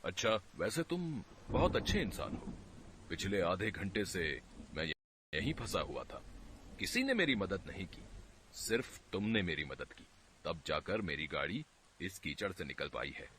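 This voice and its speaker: A man's voice: deep voice, big pitch swings, unhurried, sharp tone, slight background noise, exaggerated voice. A man voicing a rich, kindly city merchant in a cartoon story, speaking in a friendly, assured way.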